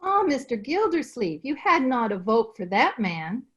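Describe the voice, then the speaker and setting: A woman's voice: fairly high pitch, very animated, unhurried, fairly bright tone, dull audio. A woman playing a folksy, outspoken household cook in an old-time radio comedy, colloquial and plain-spoken. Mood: disapproving.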